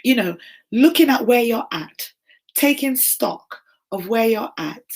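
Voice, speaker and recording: Woman, fifties, hoarse, encouraging, casual livestream host. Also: a little high, animated, a little slow. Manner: warm encouraging, greets viewers by name.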